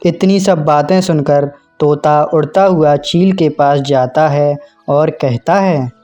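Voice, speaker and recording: धीमी रफ़्तार वाला पुरुष कहानीवाचक. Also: male voice, a little high, slow pace, dark tone, faint music behind. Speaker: narrator. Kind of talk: scripted children's story narration.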